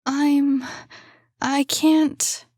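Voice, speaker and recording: A woman voicing a desperate trapped young woman who urgently pleads and shouts through a door. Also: sits high, level tone, very slow, dry recording, studio quality, pitched above the performer's own voice. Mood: pleading.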